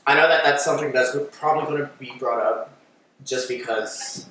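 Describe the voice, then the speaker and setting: Bright, echoey young man from Washington DC. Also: medium pitch, steady tone, fairly quick. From Washington DC, United States. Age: 20s. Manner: playful, campy banter. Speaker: podcast co-host. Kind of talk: casual podcast chat. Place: echoey room. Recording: very echoey room, far mic, faint noise.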